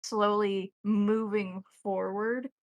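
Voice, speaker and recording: A woman game master narrating scenes descriptively and deliberately in a tabletop roleplaying game. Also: medium pitch, flat delivery, no echo.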